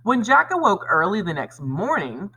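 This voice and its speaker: A male elementary teacher presents a lesson and reads aloud to children. His pitch moves up and down freely and his tone is bright and forward. He speaks expressively and addresses the pupils directly. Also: huge pitch swings, normal speed, slightly rough, poor audio.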